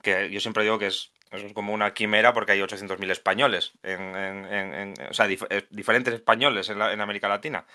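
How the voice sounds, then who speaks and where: A man's voice: fairly low pitch, fairly even intonation, fast talker, few pauses, sharp tone. A man Asturias, a video game translator chatting as a podcast guest, thinking aloud with frequent restarts. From Asturias, Spain. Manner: thoughtful, many restarts and self-corrections. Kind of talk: casual podcast conversation.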